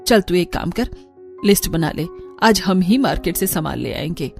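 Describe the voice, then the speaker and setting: A woman's voice: on the low side, expressive, moderate pace, gravelly, faint music behind. A woman voicing a middle-aged village mother-in-law, kindly and resigned, in a cartoon.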